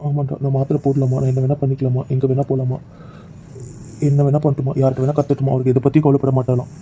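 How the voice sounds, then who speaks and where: A man's voice: medium pitch, completely flat delivery, fast, mellow, slight background noise, natural voice. A man playing a heartbroken rejected husband. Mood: despairing. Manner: pleading, despairing, voice breaking into raised anguish. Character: heartbroken young husband rejected by his wife. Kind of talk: emotional drama acting.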